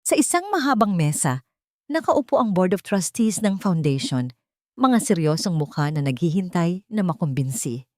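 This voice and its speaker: Woman narrator, Filipino accent, lively pitch swings. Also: normal speed, dry recording, clean studio sound. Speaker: audiobook narrator. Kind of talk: dramatic scripted first-person story narration.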